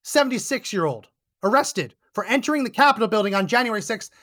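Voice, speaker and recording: Male podcast host, hoarse and fading voice, high-pitched, emphatic indignant monologue. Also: animated, quick pace, bright, forceful tone. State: hoarse, losing his voice. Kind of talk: political commentary monologue. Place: Texas.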